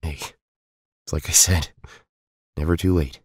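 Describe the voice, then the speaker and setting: A man acting in an audio drama as an earnest, weary young resistance fighter, speaking in a grave, measured way. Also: rumbling low, steady tone, husky, dry recording, clean studio sound, full character voice. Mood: earnest, reassuring.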